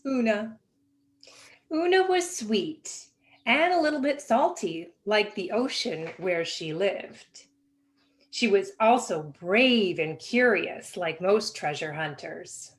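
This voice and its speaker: A woman reading a children's picture book aloud in an expressive, animated way with dramatic pauses. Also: medium pitch, slight background noise. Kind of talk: expressive children's storybook narration.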